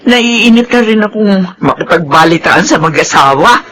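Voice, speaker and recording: A woman's voice: on the low side, lively intonation, moderate pace, loud music behind the voice, lightly acted. An actress playing a concerned older mother in a Filipino radio drama, with a Filipino accent. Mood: concerned, eager. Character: concerned older mother eager to visit her son.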